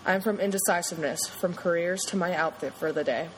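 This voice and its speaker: A young woman reading her own poem aloud in a steady, earnest way. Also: lowish voice, brisk, slight background noise, poor audio. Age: young adult.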